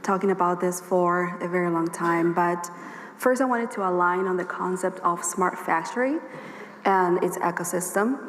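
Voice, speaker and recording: A woman with a hoarse voice giving a workshop presentation at a conference, explaining her points steadily and speaking straight to the audience. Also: fairly low pitch, normal speed, a little hiss or hum. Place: conference hall. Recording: echoey hall with long reverb, faint ambience.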